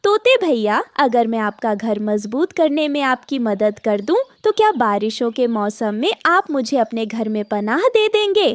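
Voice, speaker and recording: A woman voicing a gentle, worried mother sparrow in a cartoon story. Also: on the high side, very animated, a little slow, few pauses, no room sound, studio quality. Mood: pleading.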